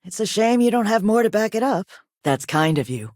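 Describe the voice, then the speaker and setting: A woman voicing a composed, businesslike company owner in a video game, with a polite, measured and formal manner. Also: medium pitch, very animated, a little mellow, no room sound, studio quality, natural voice. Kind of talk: video game dialogue acting.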